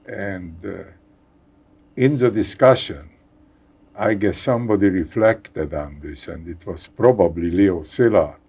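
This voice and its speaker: An older man from Hungary with a Hungarian accent, speaking haltingly as a guest in a conversational interview, repeating words and chuckling wryly. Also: low voice, gravelly, darkish tone, husky. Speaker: guest scientist.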